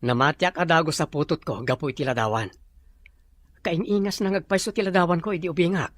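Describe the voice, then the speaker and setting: A man playing a wealthy young father in an Ilocano drama, speaking gently and persuasively to his family. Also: fairly high pitch, a little fast, no echo, lightly acted. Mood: earnest. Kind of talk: Ilocano radio-style drama acting.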